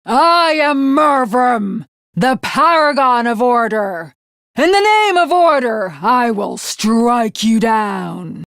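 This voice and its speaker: Bloodthirsty, honor-bound young samurai girl, anime game. Archaic formal speech, unhurried delivery, very dry close recording. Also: fairly high pitch, animated, fairly bright tone, no room sound, heavily acted. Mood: proud, zealous. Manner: archaic, formal, theatrical diction. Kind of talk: anime video game voice acting.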